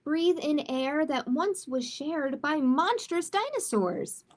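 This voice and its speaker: A woman speaking as a children's storytime host, in a measured manner suited to picture books and simple chat with families. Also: high voice, lively intonation. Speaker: children's librarian hosting storytime. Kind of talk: picture book read-aloud, then casual announcements.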